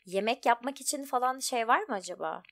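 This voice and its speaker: A woman's voice: a little high, expressive, a little fast, fairly bright tone. A woman giving casual, chatty let's play commentary, thinking aloud as she goes. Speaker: gaming video host.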